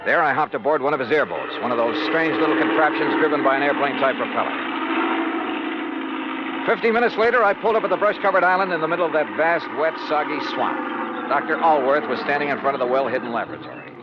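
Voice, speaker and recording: An American actor playing a tough, dogged investigator in a 1950s radio detective drama, brisk and clipped in his delivery. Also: a little high, heavy background noise, lightly acted. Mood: matter-of-fact narrating. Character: tough, dogged male insurance investigator narrating his case. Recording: muffled old radio broadcast with loud music.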